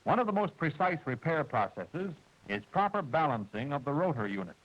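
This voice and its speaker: Man, 1940s training film narrator, formal and precise, husky voice, muffled old soundtrack. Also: fairly high pitch, very animated. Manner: precise, measured, formal delivery. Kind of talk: scripted instructional narration.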